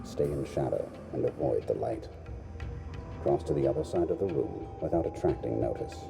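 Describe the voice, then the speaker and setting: Game casting: stern assassin mentor, rumbling low and gravelly, formal and clipped, music underneath. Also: male voice, animated, normal speed, heavily acted. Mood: instructive, stern. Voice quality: gravelly, very deep. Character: stern, measured male assassin instructor. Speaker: video game voice actor. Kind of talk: scripted game dialogue.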